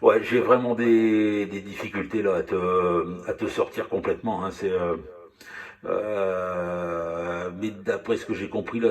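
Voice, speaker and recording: A man in a casual radio chat as a hobby CB operator, speaking haltingly and often repeating words. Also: low voice.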